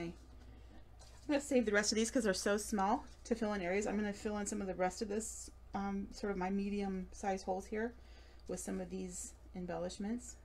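A chatty woman in her late thirties walks through a craft project at her table, tossing in giggly, self-deprecating asides. Her voice has a gravelly edge, and faint room noise hums in the background. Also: mid-range voice, darkish tone. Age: late 30s. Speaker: craft store demonstrator. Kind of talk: live craft demonstration. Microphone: overhead camera above table.

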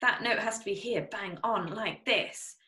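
A woman's voice: medium pitch, a little slow, pushed and bright. A young woman hosting a podcast, chatty, with many ums and asks for agreement. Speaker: podcast host. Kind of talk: casual interview chat. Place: small home studio. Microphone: studio condenser mic. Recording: roomy echo.

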